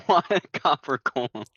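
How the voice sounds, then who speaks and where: A man's voice: fairly high pitch, expressive, takes it slowly, a bit bright, no echo, lo-fi sound. An American man from New Jersey co-hosting a podcast in casual chat, jokey and given to repeating phrases for effect. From New Jersey, United States. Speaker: podcast co-host.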